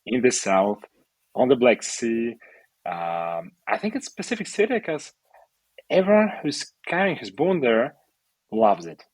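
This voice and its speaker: A man from Ukraine in his thirties, a podcast guest speaking English as a second language and pausing to search for words. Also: medium pitch, big pitch swings, dry recording. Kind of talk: podcast interview.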